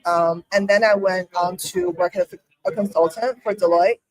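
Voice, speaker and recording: A woman's voice: mid-range voice, big pitch swings, normal speed, a crowd in the background, low-quality recording. A woman in her thirties, from China, co-hosting a casual podcast chat, talking freely with many ums and likes. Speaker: podcast co-host.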